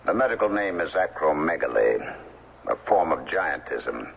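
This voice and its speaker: An actor playing a secretive, commanding aide to a billionaire in a 1970s radio drama, explaining things in a measured, authoritative way. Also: male voice, very deep voice, very animated, creaky, slight background noise, full character voice. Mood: grave, assured. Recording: lo-fi old radio broadcast, long reverb.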